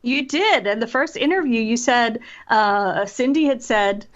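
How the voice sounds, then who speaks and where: A woman's voice: a little high, a little slow. Muffled American woman chatting in an interview. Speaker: interviewed author and voice actor. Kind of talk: conversational interview. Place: remote call from separate location. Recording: dull, lo-fi call audio.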